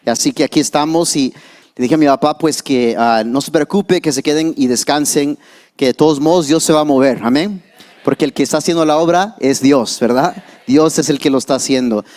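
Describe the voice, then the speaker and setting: A man's voice: on the high side, a little fast, a little mellow. A young male pastor, a native English speaker, preaching a sermon with casual asides and restarts.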